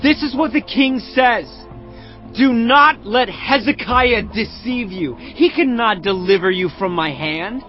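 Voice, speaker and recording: An actor playing an arrogant Assyrian field commander who taunts a besieged city with loud, mocking declamation. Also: male voice, very high-pitched, expressive, a little slow. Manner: boastful, mocking, declaiming loudly. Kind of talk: dramatized audio Bible acting. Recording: muffled lo-fi audio under music. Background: cinematic music.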